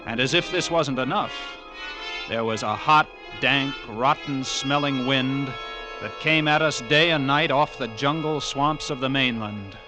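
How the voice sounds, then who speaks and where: A man's voice: medium pitch, measured pace, close to an everyday voice. A man playing a grim lighthouse keeper telling a nightmare tale in a dramatic 1940s radio drama style. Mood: ominous, intense. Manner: brooding, dramatic, vividly descriptive storytelling. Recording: vintage radio broadcast with music underneath.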